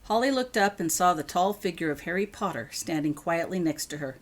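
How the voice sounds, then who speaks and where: A woman's voice: on the low side, expressive, brisk. A woman reading scripted audiobook narration of a story as its author. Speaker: author reading their own fan fiction.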